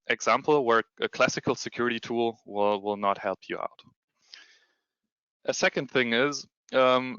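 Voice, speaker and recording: German-accented male presenter on a video call, halting and full of fillers, bright crisp tone. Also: mid-range voice, dry recording, lo-fi sound. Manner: hesitant, many ums and restarts. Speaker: security consultant presenting a demo. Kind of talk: webinar presentation with screen share.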